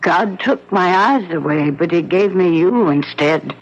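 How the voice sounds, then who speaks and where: A woman's voice: lowish voice, lively intonation. An actress playing a frail, blind old grandmother, tender and solemn, in a 1970s radio drama. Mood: tender farewell. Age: older. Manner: tender, solemn, halting farewell. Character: dying blind grandmother, tender, grateful and devout. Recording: dull lo-fi 1970s radio recording.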